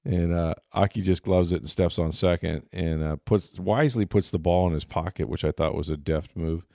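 A man's voice: low-pitched, normal speed, creaky, warm tone, no room sound, studio quality. A man hosting a sports podcast, chatting casually about games and players. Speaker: sports podcast host and football reporter.

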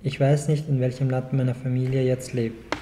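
A man in his twenties from Afghanistan, a native Dari speaker, reading his own text aloud in a calm, measured way at a panel table in a small club room. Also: medium pitch. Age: 20s. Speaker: guest author. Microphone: table mic on a short stand.

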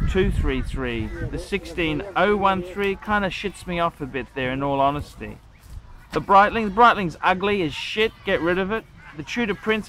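A man's voice: high voice, low-quality recording. A middle-aged man hosting a watch channel, reading a viewer's letter and then giving crude, rambling, profane opinions, recorded outdoors in a park on a lapel mic. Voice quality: blunt, crude and profane, rambling with many restarts. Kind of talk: casual crude vlog rant.

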